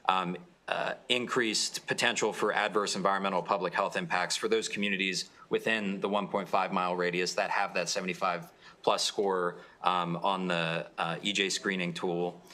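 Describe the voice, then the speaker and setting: A young man, a legislator, explaining his bill to a committee in a halting way with many fillers. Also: sits low, steady tone, bright, forceful tone. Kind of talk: testimony at a committee hearing.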